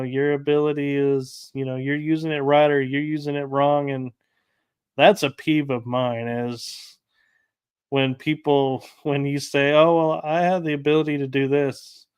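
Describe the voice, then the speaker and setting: Slow-talking man hosting a chat. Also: a little high, little pitch movement, clean tone. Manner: rambling anecdotes with light laughs. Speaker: podcast host. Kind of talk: casual interview chat.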